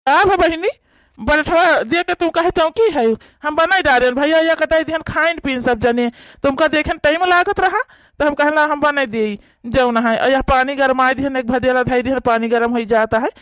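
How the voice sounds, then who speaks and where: A man voices a gentle young brother in an Awadhi cartoon, calm and explaining, his voice unusually high for a man. The recording is dry and close. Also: moderate pace, smooth, dry recording. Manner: soothing, explaining. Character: kind young brother, easygoing. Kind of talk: cartoon voice acting.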